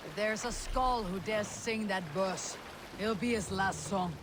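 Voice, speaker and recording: An actor playing a proud, stubborn Viking warrior in a video game, terse and defiant with archaic phrasing. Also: male voice, sits high, measured pace, background noise. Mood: defiant, dry. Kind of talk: video game cutscene acting.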